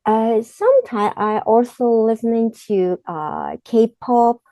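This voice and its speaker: Halting Cantonese-accented woman, mellow voice. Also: on the high side, dry recording. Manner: halting, searching for words in simple English. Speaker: exam candidate. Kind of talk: mock speaking exam answers.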